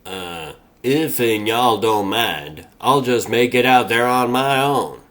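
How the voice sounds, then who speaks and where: A man voicing a slow-witted, folksy turtle superhero in a comic dub, with a rambling American Southern drawl. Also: mid-range voice, a bit bright. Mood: easygoing. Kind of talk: comic dub character acting.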